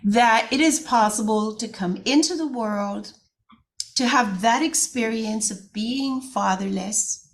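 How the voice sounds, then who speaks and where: A woman hosting an interview podcast, speaking in an earnest, steady way. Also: a little high, slow. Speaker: podcast host. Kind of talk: interview host.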